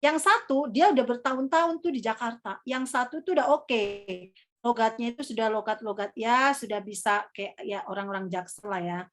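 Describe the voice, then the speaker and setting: A woman's voice: on the high side, normal speed. A young woman teaching a workshop for hosts, chatting casually and filling gaps with "eh" and "apa". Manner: chatty, calls herself Kakak, many fillers. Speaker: MC trainer.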